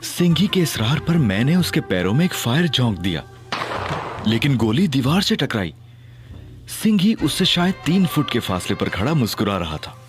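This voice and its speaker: A man's voice: mid-range voice, sing-song, moderate pace, music in the background, natural voice. A man as a cocky, joking young detective. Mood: narrating. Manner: playful, teasing, theatrical. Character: cocky, joking young male detective and first-person narrator. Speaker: Ali Imran. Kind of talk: audio drama acting and narration.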